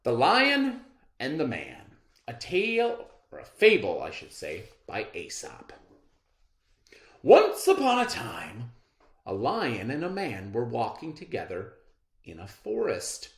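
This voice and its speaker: A man teaching children in a read-aloud lesson, expressive and instructive, often posing questions and checking in. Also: medium pitch, takes it slowly. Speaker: elementary school teacher. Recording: echoey room sound.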